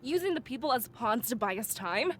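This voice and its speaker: A woman's voice: fairly high pitch, animated, fairly quick, fairly bright tone. An actress voicing a resolute, shrewd woman advisor in a fantasy visual novel, speaking firmly and argumentatively. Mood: insistent, heated.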